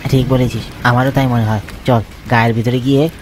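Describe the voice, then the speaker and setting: A man's voice: mid-range voice, flat delivery, fairly quick, natural voice. A man playing a young village friend in a horror cartoon, chatty, casual and matter-of-fact. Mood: matter-of-fact, uneasy. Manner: casual, conversational. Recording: rain and thunder noise behind.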